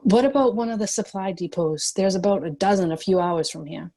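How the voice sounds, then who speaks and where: Woman as a husky, determined young heroine. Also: medium pitch, brisk, mellow, close to an everyday voice. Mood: defiant. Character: determined young heroine, defiant, bossy and compassionate. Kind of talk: screenplay table read.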